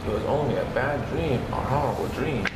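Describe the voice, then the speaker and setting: A man's voice: mid-range voice, a little fast. A man reading a children's story aloud as narrator. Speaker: storybook reader. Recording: roomy sound, steady background hum.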